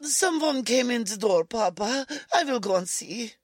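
A woman playing a timid, frightened German-American housewife in a 1940s-style radio drama, speaking haltingly. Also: a little high, lively intonation, dry recording, clean studio sound. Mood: uneasy. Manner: hesitant, halting delivery.

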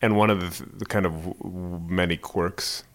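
A man interviewing a guest, asking measured, prompting questions in conversation. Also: low-pitched, fairly even intonation.

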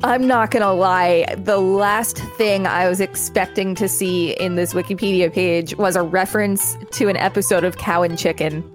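A woman's voice: mid-range voice, fairly even intonation, moderate pace, few pauses. A woman co-hosting a podcast, reading aloud and commenting casually. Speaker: podcast co-host. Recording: music playing behind the voice.

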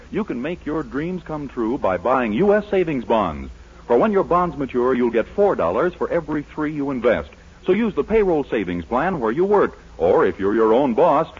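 A man's voice: mid-range voice, slightly rough, lo-fi sound. An American man announcing in a scripted, emphatic 1940s radio sales style on an old broadcast recording.

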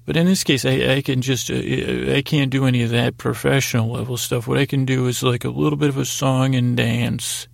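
A man hosting a bedtime podcast in a lulling, soothing, gravelly voice, meandering and halting with long pauses. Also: medium pitch, little pitch movement, no echo, studio quality. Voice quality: gravelly, creaky. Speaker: podcast host. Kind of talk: rambling bedtime podcast monologue.

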